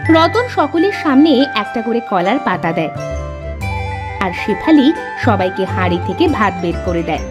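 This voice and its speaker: A woman's voice: mid-range voice, very animated, normal speed, over music. A woman narrating a scripted cartoon story as its storyteller.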